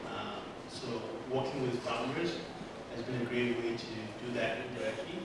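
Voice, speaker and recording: Measured male investor over loud room noise. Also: medium pitch. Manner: measured, fillers of "um". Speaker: venture investor, panelist. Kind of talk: panel discussion answer. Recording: echoey hall, distant mic, loud hum.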